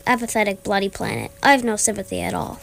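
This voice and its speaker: A woman's voice: medium pitch, sing-song, fast, creaky, a bit bright, heavily acted, poor audio. A woman playing an officious, impatient alien bureaucrat commander in an amateur radio comedy. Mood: exasperated, scornful.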